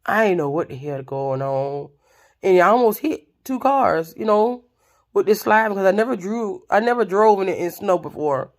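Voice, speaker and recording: A woman's voice: medium pitch, normal speed. A woman, casually telling a story to her viewers. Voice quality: raspy voice. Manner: chatty, expressive, addresses viewers directly. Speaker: vlogger.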